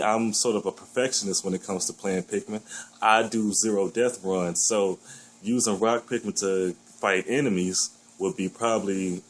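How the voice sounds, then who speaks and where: A man with a deep voice giving his blunt, opinionated take on a new game in a casual vlog, swearing freely as he gripes. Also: low-quality recording. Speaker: gaming vlogger.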